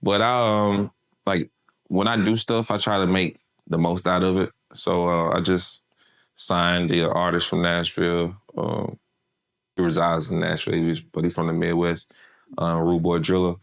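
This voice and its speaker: A man's voice: low-pitched, level tone, moderate pace, gravelly, fairly bright tone. A man from Memphis, an artist manager chatting casually in an interview, laid-back and slangy with lots of fillers.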